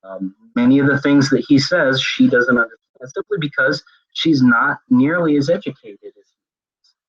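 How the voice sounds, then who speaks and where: A man's voice: medium pitch, normal speed, slightly rough, muffled recording. A man presenting a web seminar on leadership, speaking conversationally with frequent fillers and self-corrections. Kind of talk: lecture on leadership communication.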